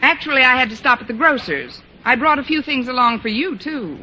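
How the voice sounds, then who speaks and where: A woman's voice: sits high, lively intonation, a little fast, sharp tone. A woman playing a wry, scheming schoolteacher with dry, sardonic wisecracks in a 1940s radio sitcom. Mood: coaxing, wry. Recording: muffled, noisy old radio recording.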